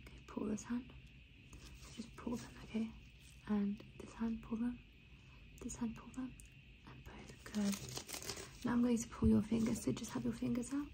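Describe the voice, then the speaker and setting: A woman with a fairly high, breathy voice whispers through an ASMR clinical roleplay. Her manner is gentle and reassuring. She often echoes her own short phrases, keeping everything soft and hushed. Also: little pitch movement, moderate pace, long pauses. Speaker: ASMR roleplay creator playing an examiner.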